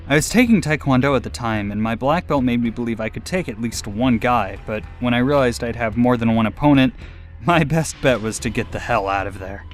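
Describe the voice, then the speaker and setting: A man's voice: mid-range voice, brisk, faint music behind. A man narrating a personal scary story as a scripted dramatic reading.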